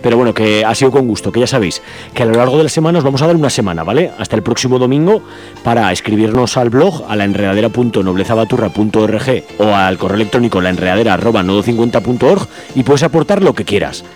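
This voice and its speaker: A man's voice: fairly low pitch, animated, fast talker, a little mellow, soft background music. A man hosting a radio show, addressing listeners in an informal, colloquial way. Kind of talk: casual announcement to listeners.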